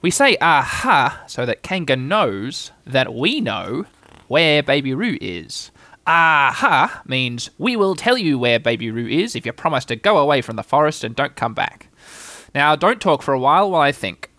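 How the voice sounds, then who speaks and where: Guy doing a slow-witted little storybook bear, earnest, humble, a bit simple, dry close sound. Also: medium pitch, big pitch swings, pushed and bright, no room sound. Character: humble, slow-witted, well-meaning male toy bear.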